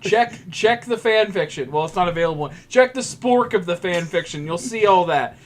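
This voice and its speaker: A man chatting casually as he plays a game, excitable and rambling in an informal way. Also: sits high, moderate pace. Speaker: co-host playing a video game. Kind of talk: casual banter over gameplay.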